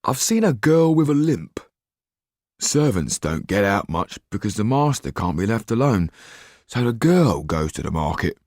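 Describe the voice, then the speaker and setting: A man's voice: lowish voice, very animated, unhurried, darkish tone, no room sound, studio quality, voice pushed up, heavily acted. Man doing a grumbling, greedy informant lad. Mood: gossiping. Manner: growling, grumbling, colloquial. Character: big-headed, greedy, grumbling young man, a lowly informant.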